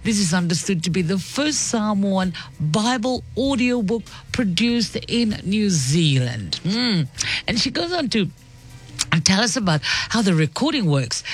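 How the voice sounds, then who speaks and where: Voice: mid-range voice, breathy edge. Upbeat radio host. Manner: upbeat and chatty, breaks off reading to comment. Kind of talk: Sunday morning radio show, reading a news story aloud with asides. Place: radio studio.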